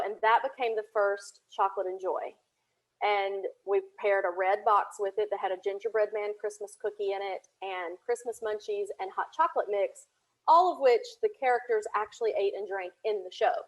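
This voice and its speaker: A woman from Tennessee speaking as an interview guest, telling anecdotes in a chatty, conversational and animated way. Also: medium pitch, rough recording. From Tennessee, United States. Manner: chatty, animated anecdotes with laughter and reenacted dialogue. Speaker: interview guest, audio drama creator.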